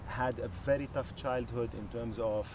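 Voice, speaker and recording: A man's voice: mid-range voice, steady tone, background noise. A middle-aged man from Lebanon, a former executive being interviewed, speaking earnestly and thoughtfully, often restarting his sentences. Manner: earnest, measured, with frequent restarts. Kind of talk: reflective interview conversation.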